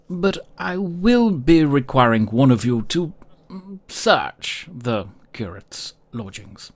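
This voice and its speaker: A man plays a formal church authority in a tabletop fantasy game. He gives exacting orders in a slow, deliberate way, with careful pauses and a pitch that rises and falls widely. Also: full character voice. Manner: measured, formal, hesitant pauses.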